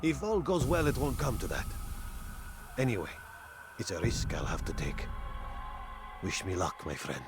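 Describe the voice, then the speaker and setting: A man's voice: lowish voice, huge pitch swings, moderate pace, long pauses. An actor playing a determined, earnest man plotting a strike in a video game. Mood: grim resolve. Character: determined male conspirator plotting to strike a slaver. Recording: game audio with music behind. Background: game music.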